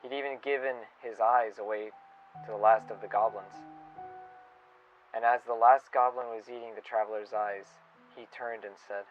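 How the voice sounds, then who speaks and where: A man playing a reflective, wistful staff member recording an audio log, speaking in a halting, pensive and sincere way. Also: on the low side, steady tone, close to an everyday voice. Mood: gentle, solemn storytelling. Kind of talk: audio log monologue with storytelling. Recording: dull lo-fi recording with music. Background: music under the voice.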